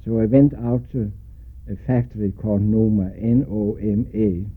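An older man from Denmark with a Danish accent, recalling his life in an oral history interview, pausing often and spelling out names letter by letter. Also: lowish voice, steady tone, breathy edge. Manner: slow, spells out names letter by letter, many hesitations. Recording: tinny lo-fi recording with faint hiss.

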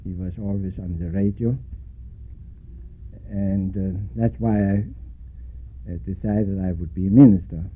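An older man from Denmark with a Danish accent, recalling his life in an oral history interview, pausing often and spelling out names letter by letter. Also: sits low, fairly even intonation. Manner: slow, spells out names letter by letter, many hesitations. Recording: tinny lo-fi recording with faint hiss.

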